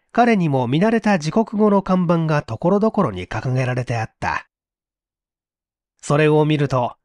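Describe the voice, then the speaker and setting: A man's voice: a little high, darkish tone, dry recording, studio quality. A man reading a literary mystery story aloud as a dramatic narrator. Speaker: story reader.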